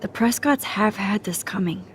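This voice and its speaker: A woman's voice: medium pitch, normal speed, slight background noise, lightly acted. Casting: woman as introspective young photography student, game dialogue. Medium register, hesitant, unshowy, dryly wry. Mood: subdued, earnest. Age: young adult. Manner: hesitant, understated. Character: shy, self-doubting, wry young female photography student. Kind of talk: naturalistic video game dialogue.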